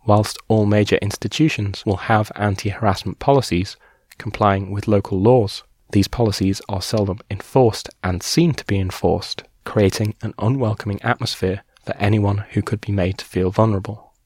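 A man reading a scripted news segment on a podcast in a measured, formal way. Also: fairly low pitch, fairly even intonation, a little mellow, slightly rough, dry recording. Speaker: news presenter.

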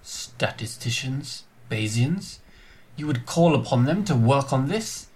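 A man's voice: mid-range voice, animated, slow pace, darkish tone. A man playing an earnest, noble warrior leader in a comic pantomime, speaking formally and heroically. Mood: earnest, resolute. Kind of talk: amateur comic pantomime acting.

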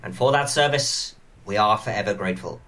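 A man playing a stately elf lord, addressing a council formally and gravely in an amateur comic pantomime. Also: on the low side, fairly quick, a bit bright, natural voice. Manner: formal, oratorical.

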